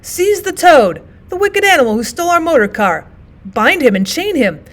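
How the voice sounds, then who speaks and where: A woman voicing horrified, outraged gentlemen motorists in a storybook, crying out commands in alarm. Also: high-pitched, sing-song, moderate pace, pitched above the performer's own voice. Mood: horrified outrage.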